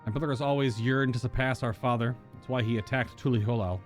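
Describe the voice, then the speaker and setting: Game role: determined young royal, plain-spoken and earnest, read by a man at mid pitch, music underneath. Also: fairly even intonation, quick pace, natural voice. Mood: resolute. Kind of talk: acted reading of video game dialogue.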